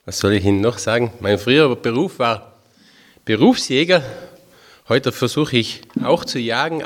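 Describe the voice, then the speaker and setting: A man in his fifties from Tyrol with a Tyrolean accent, a religious brother giving a chatty, homely spiritual talk to a group. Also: fairly low pitch. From Tyrol, Austria. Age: 50s. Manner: homely, chatty, self-deprecating with dialect phrases.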